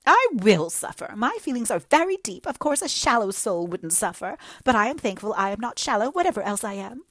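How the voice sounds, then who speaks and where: A woman's voice: fairly high pitch, sing-song, brisk, fairly bright tone, no room sound, studio quality, pitched above the performer's own voice. A woman reading a book aloud and voicing a melodramatic, self-pitying young woman with gushing, theatrical talk. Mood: wailing. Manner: gushing, theatrical, breathless overstatement.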